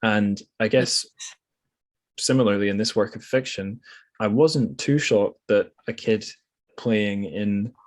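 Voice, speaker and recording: A man's voice: on the low side, slow. A man hosting a casual podcast discussion about books, speaking reflectively and hesitantly, often correcting himself as he goes. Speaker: podcast host.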